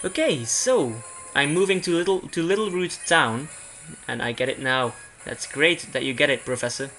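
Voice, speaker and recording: A man's voice: a little high, animated, normal speed, sharp tone, a little hiss or hum. A young man from Belgium giving casual let's play commentary, rambling and often interrupting himself as he goes. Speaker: video game streamer.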